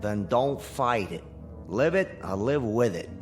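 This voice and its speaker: Casting: unschooled, easygoing drifter for radio drama; folksy, swingy melodic delivery. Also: male voice, medium pitch, very animated, measured pace, soft background music. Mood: amused. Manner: slangy, folksy, loose grammar.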